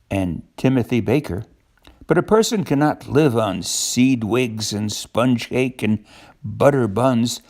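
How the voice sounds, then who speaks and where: An older man with a gravelly voice reads a children's storybook aloud in an expressive, unhurried way. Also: medium pitch. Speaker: storybook narrator.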